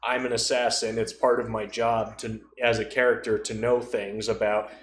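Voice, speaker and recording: A man's voice: fairly low pitch, little pitch movement, normal speed, echoey room, a little hiss or hum. A man in casual podcast conversation about tabletop role-playing, with a dryly joking, conversational way of talking. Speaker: podcast speaker.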